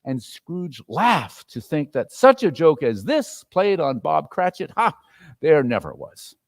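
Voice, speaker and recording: A man narrating a classic story as a theatrical, animated dramatic reading. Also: on the high side, a little slow. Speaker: story reader and performer.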